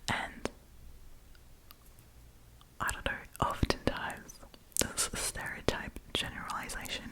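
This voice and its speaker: A woman doing an ASMR storytime, chatting casually and informally with rambling asides. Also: low-quality recording.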